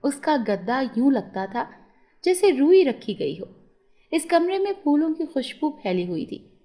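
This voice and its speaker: Woman reading a kids' moral story, scripted narration, voice on the high side. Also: very animated, unhurried, warm tone.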